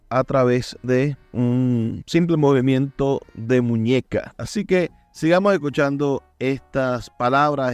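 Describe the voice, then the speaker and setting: A man's voice: medium pitch, no room sound. A Venezuelan man presenting a radio program and speaking directly to his listeners.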